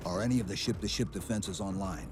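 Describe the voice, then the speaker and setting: A man playing a stoic armoured super-soldier in a video game, speaking in terse, calm deadpan. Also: deep voice, fast, music in the background, natural voice. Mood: terse, alert. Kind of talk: video game cutscene acting.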